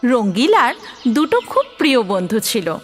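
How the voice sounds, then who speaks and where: A woman's voice: on the high side, big pitch swings, normal speed. A woman narrating a scripted fairy tale as a cartoon storyteller. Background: music.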